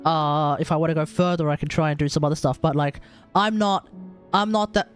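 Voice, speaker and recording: Male game streamer, fairly high voice, casual chatter, halting, repetitive phrasing, faint music behind. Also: fairly even intonation. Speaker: game livestreamer. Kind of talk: casual live stream commentary.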